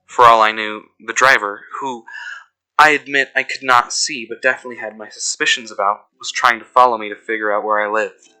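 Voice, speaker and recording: Casting: uneasy male investigator played by a man for audio horror, low and very forceful, deliberate delivery, faint music underneath. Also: steady tone, low-quality recording. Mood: tense. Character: uneasy male investigator recounting being followed. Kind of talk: scripted first-person horror narration. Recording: music behind the voice.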